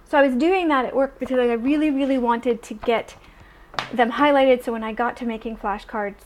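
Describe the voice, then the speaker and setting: A young adult woman chatting casually in a vlog, animated and full of ums and restarts. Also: on the high side, normal speed, a little hiss or hum, poor audio. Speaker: vlogger.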